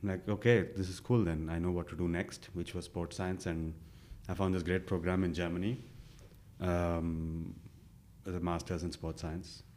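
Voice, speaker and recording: A man's voice: deep voice, level tone, normal speed, darkish tone. A young man from India, a guest in an interview, telling his story casually with many fillers and self-quotes.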